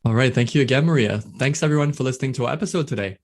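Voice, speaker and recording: A man co-hosts a podcast in a friendly manner, thanking the guest and closing the show. He talks quickly at a mid pitch. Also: slight background noise. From United Kingdom. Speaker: podcast co-host. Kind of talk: podcast hosting.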